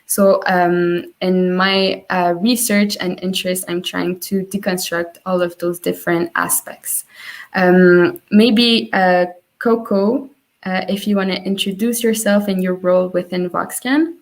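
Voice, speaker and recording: A woman's voice: fairly low pitch, steady tone, unhurried. Young woman from colombia hosting an online panel, lots of ums and restarts. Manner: hesitant, many fillers and restarts. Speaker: panel moderator.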